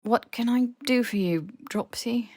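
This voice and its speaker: A woman's voice: a little high, unhurried, darkish tone, dry recording, clean studio sound, voice pushed up. A woman voicing a sardonic teenage schoolboy wizard in an audiobook, with a wry, questioning manner. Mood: groggy, wary.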